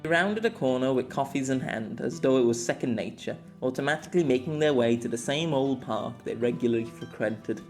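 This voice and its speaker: Expressive male audiobook narrator. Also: medium pitch, brisk, few pauses, soft background music. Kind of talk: scripted story narration.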